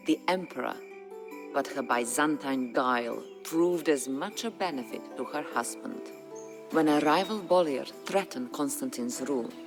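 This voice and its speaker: An actor narrating a historical game campaign as a solemn storyteller, with grave, measured, dramatic delivery. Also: mid-range voice, natural voice. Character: solemn storyteller chronicling a medieval Bulgarian uprising. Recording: music under the voice. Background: background music.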